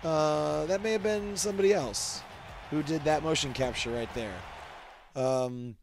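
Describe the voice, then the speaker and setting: A man's voice: on the high side, a little slow, slight background noise. A man giving casual, jokey and self-deprecating commentary as a host, rambling as he goes. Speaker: host commenting on wrestling video game cutscenes. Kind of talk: casual commentary with mock wrestling announcing.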